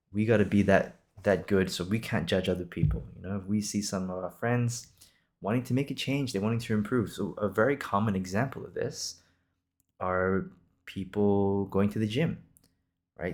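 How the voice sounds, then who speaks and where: A man's voice: lowish voice, normal speed, a little mellow. A man in his twenties from Singapore hosting an improvised podcast, talking casually in a reassuring, encouraging, conversational way. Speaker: podcast host.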